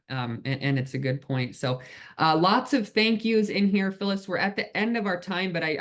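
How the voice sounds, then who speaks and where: A man's voice: fairly high pitch, fairly bright tone. A man hosting an online Q&A over a video call, effusive and full of thanks and praise for his guest. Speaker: host, moderator. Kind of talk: moderating a webinar Q&A.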